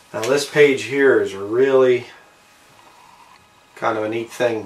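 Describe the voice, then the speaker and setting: Casting: man, medium pitch, casual amateur narrator, unhurried and halting, echoey room with faint noise. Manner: unhurried, halting, trailing off between short phrases. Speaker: amateur video maker showing a collectible. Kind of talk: casual show-and-tell narration to camera. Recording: roomy, distant mic with faint background noise.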